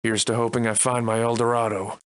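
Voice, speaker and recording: An actor playing a weary, wry Victorian gentleman adventurer in a video game, with florid, old-fashioned, formal diction. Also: mid-range voice, little pitch movement, a little fast, clean tone, natural voice. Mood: formal, hopeful. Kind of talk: theatrical video game voice acting.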